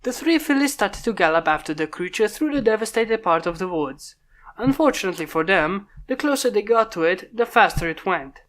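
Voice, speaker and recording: A woman's voice: medium pitch, lively intonation, fairly quick. A woman steadily narrating a fan story aloud. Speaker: fan fiction reader.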